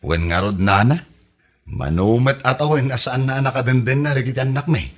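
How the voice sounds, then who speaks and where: A man's voice: medium pitch, normal speed, natural voice. A man with an Ilocano accent playing an exasperated, worried village husband and father in a comedy radio drama. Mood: casual.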